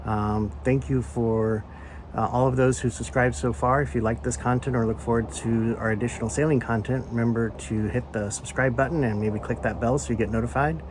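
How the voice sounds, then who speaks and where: A man's voice: medium pitch, steady tone, fairly quick. A man hosting a DIY vlog, narrating in a casual style. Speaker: vlog host. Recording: clearly noisy ambient background.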